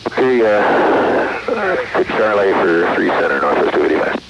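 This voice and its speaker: A man's voice: low voice, wildly swooping pitch, moderate pace, gravelly. A man speaking as an airline pilot, giving informal, hesitant readbacks to ground control over aviation radio. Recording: muffled, noisy cockpit radio transmission.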